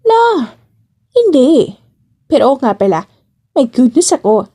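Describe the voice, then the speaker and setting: A woman's voice: high-pitched, big pitch swings, takes it slowly, dark tone, pitched above the performer's own voice, heavily acted. A woman with a Filipino accent voicing a breezy young office worker, a chatty, slangy, teasing best friend in an audio drama.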